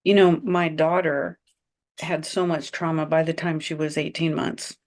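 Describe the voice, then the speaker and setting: Woman, low-pitched voice, earnest heartfelt podcast talk. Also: little pitch movement, measured pace, a little mellow. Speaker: podcast co-host and mother. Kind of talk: candid conversational chat.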